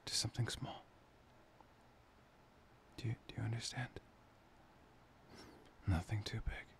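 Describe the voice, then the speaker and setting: A man playing a tender, playful boyfriend in an intimate ASMR roleplay, breathy and often slipping into a whisper. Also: on the low side, heavily acted. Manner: hesitant, intimate, soft-spoken. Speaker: ASMR voice performer.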